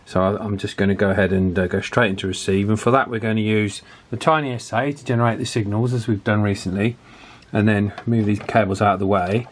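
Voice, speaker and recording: A man giving a casual hands-on repair commentary, thinking aloud with pauses mid-phrase. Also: on the low side, steady tone, a little hiss or hum. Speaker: electronics repair vlogger.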